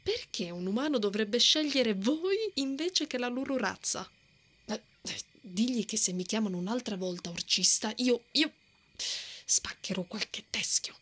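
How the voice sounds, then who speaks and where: A woman performs a brave lady knight for an ASMR roleplay. Her voice is fairly high and breathy, often slipping toward a whisper. She is hot-tempered and blustering, yet easily flustered, with a lovestruck side she tries to hide. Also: lively intonation, a little slow, a bit bright. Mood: indignant, confrontational. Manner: tsundere, blustering, flustered stammering.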